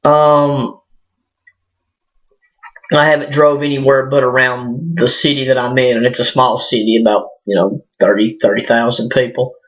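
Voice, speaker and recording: A hoarse man, his voice cracking a little, talks straight to camera in a loose and halting way, full of fillers and restarts. His pitch sits mid-range for a man. The sound is dull and lo-fi, picked up by the device's built-in mic. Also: fairly even intonation, a bit bright. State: hoarse, voice cracking from a sinus illness. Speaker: vlogger. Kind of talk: casual personal vlog monologue. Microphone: built-in device mic, no external microphone.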